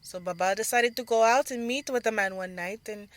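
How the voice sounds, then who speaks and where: A woman as an earnest, higher-voiced older sister. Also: brisk, no echo, natural voice. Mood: reminiscing. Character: protective, serious older sister, young dancer reminiscing. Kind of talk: audio drama storytelling.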